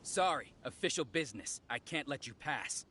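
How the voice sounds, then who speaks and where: A man's voice: mid-range voice, animated, moderate pace, sharp tone, a little hiss or hum. A man voicing a stern guard in a video game, curt and commanding. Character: stern, obstructive male guard on official business.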